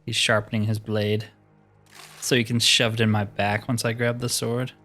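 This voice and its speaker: A man's voice: lowish voice, flat delivery, normal speed, bright, forceful tone, soft background music. A man giving casual, mildly exasperated commentary while playing a video game, thinking aloud as he goes. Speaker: gaming video host.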